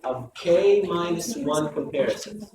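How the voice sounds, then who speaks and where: A hoarse male lecturer teaching a class, repeating key points and often checking in with "okay?". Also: fairly high pitch, expressive, measured pace. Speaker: university lecturer. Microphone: close microphone. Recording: echoey classroom from far off, noisy.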